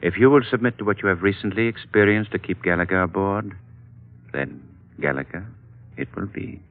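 An actor playing a wise, fatherly older Chinese gentleman with formal, measured, flowery speech in a 1940s radio drama. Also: low voice, vocal fry. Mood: solemn, fatherly. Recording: narrow old radio recording with music.

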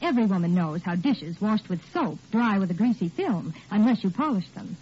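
A woman's voice: medium pitch, expressive, moderate pace, warm tone, slightly rough. A woman reading an enthusiastic scripted testimonial in 1940s radio ad style. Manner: emphatic, enthusiastic. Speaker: commercial spokeswoman. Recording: muffled 1940s radio recording.

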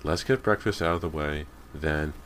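A man's voice: rumbling low, normal speed, fairly bright tone, slight background noise. A man voicing a harried, snappish middle-aged mother in an audiobook story.

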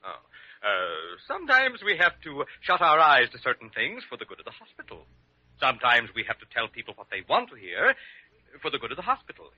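An actor playing a pompous, wheedling head of a hospital in old radio drama, hedging unctuously. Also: male voice, mid-range voice, wildly swooping pitch, a little fast, slightly rough, dry recording. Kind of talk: 1940s-50s radio drama acting. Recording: muffled old radio broadcast recording.

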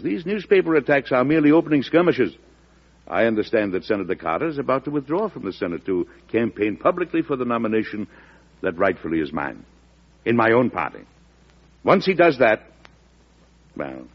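A man's voice: medium pitch, very animated, fairly quick, breathy edge. An actor playing a stern, shrewd middle-aged president and father in an old-time radio drama, speaking formally and with authority. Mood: wry, calculating. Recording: dull lo-fi old radio recording.

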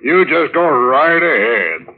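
An actor playing a jovial, blustery ferry captain with a booming manner in an old-time radio drama. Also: male voice, sing-song, takes it slowly, exaggerated voice. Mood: hearty. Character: hearty, heavy-handed ferry boat captain host. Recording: muffled vintage radio recording.

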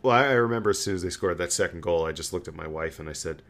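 A man with a low voice hosts a casual sports fan podcast. He tells stories in an animated way, often doubling back on his words as he goes. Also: very fast. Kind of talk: casual hockey fan chat.

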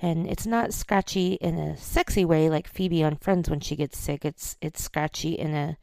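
A woman hosting a podcast solo, talking in a casual, chatty, self-deprecating way, her voice scratchy and hoarse. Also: sits low, normal speed, darkish tone, no room sound. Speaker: podcast host.

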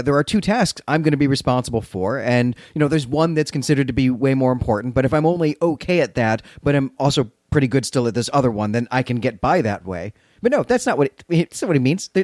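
Man recapping a story with lively intonation. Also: medium pitch, no echo, clean studio sound. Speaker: podcast host. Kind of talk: conversational literary recap and commentary.

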